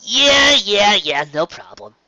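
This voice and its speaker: A woman playing a cocky, boastful young female pegasus pony in a cartoon fan story, loud and scornful. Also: medium pitch, big pitch swings, takes it slowly, no echo, voice pushed up, heavily acted, poor audio.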